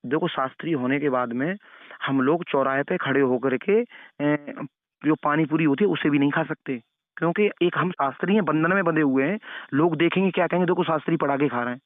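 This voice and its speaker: A man's voice: a little high, fairly quick, no room sound. Moralizing man on a tinny phone line. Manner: elder-brotherly, moralizing, repeats points for emphasis. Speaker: religious preacher giving advice. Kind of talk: admonishing phone conversation. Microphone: phone call. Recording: narrow tinny phone call recording.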